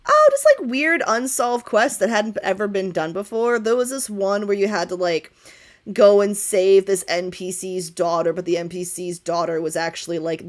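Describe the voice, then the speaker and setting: Lively, breezy young gamer woman, acted by a woman. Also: lowish voice, natural voice. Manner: slangy, gushing, rambling. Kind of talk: improvised tabletop roleplay as casual chat.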